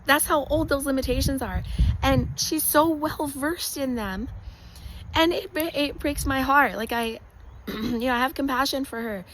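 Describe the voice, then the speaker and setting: A woman with a raspy, hoarse voice giving an earnest motivational talk straight to the listener, often clearing her throat. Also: high-pitched, a little slow, fairly bright tone, slight background noise, poor audio. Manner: earnest, passionate, direct address with rhetorical questions. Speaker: motivational speaker. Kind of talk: motivational monologue.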